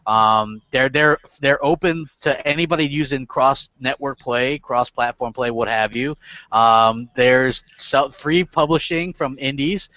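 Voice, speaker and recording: A man's voice: mid-range voice, unhurried, pushed and bright, no echo, highs cut off. Rambling, swearing podcast host guy. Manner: rambling, swears freely, many fillers and restarts. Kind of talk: casual gaming podcast chat.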